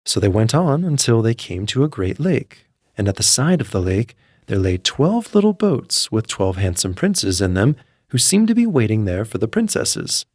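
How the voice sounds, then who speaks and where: Expressive low-voiced man telling a fairy tale. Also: moderate pace, no echo, studio quality. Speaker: storyteller, narrator. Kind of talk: scripted fairy tale narration. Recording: music behind the voice. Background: background music.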